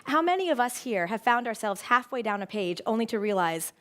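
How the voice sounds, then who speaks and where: Expressive woman speaker, higher-pitched voice. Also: fairly quick, a little hiss or hum. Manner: expressive, emphatic. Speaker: educator, public speaker. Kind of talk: prepared public talk with storytelling.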